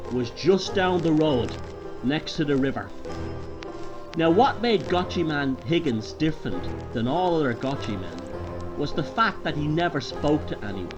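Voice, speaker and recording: Man, Dublin Irish accent, higher-pitched voice, theatrical horror narration, deliberate delivery, clear music behind. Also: animated, highs cut off. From Ireland. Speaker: storyteller. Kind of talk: dramatic horror story narration.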